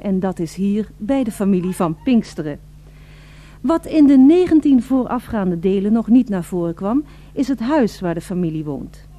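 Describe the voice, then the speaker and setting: Polite radio reporter, high voice, soft mellow tone, florid formal wording, radio drama. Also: female voice. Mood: confiding narration. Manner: formal, florid, emphatic announcing. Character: eager, polite female radio reporter addressing listeners.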